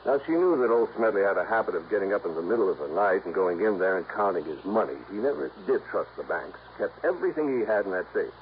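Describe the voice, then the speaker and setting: An American man playing an earnest, businesslike insurance man in a 1950s radio drama, brisk and matter-of-fact with a folksy touch. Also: fairly low pitch, expressive. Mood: grave, explanatory. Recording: muffled, faintly noisy old radio recording.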